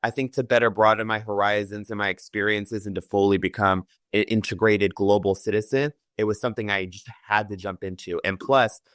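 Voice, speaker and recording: A young man from Southern California who is a community college student, speaking in an animated, conversational way. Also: on the low side, brisk, few pauses, dry recording, clean studio sound. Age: young adult.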